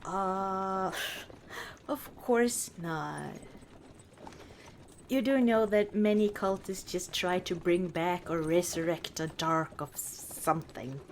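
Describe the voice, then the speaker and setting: A woman playing a warm, teasing orc chieftain wife in an unhurried, dryly dismissive ASMR roleplay monologue. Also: lowish voice, slight background noise. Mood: amused, dismissive.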